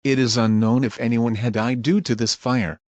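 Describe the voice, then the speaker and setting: A man acts as a grim emergency broadcast announcer in an amateur audio drama. He reads scripted alerts formally and with measured care, in a mid-pitched voice with a very dry, close sound. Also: fairly even intonation, darkish tone, dry recording, highs cut off, natural voice. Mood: grave. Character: grim emergency alert announcer, dutiful, irritable when crossed.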